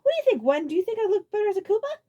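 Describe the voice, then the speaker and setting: A woman puts on a tough male snake creature from a storybook. He is blunt and grumpy, raising his voice in high, indignant bursts. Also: sing-song, very fast, mellow, lo-fi sound. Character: tough, grumpy turtle brother turned into a snake.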